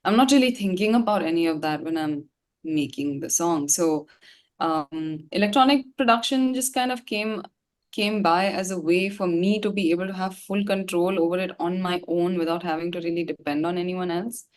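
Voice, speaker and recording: Young woman, slightly low voice, casual interview chat, reflective, slangy asides. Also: normal speed. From India. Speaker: musician interview guest.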